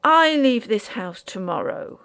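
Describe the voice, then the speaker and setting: Lady narrator doing a grim army major in a ghost story, gravelly edge, decisive. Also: on the high side, lively intonation, unhurried, a bit bright, pitched above the performer's own voice. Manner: grim, exclamatory.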